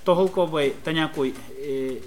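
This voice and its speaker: A man interpreting a sermon phrase by phrase, quick and hesitant, with fillers. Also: on the high side.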